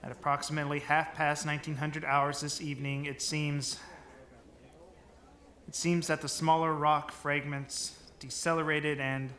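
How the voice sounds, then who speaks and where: Stiff evasive govt secretary at a press briefing, halting, sharp bright voice, echoey room. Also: male voice, a little high, flat delivery. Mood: grave. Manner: formal, guarded, halting. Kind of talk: radio drama press briefing.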